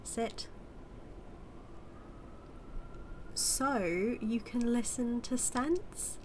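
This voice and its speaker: A woman's voice: on the high side, darkish tone, slight background noise. A woman with a British accent acting as a smug female supervillain who mocks and teases her hero nemesis in a theatrical, drawling audio roleplay monologue. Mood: smug, teasing. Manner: mocking, theatrical, drawling with drawn-out words.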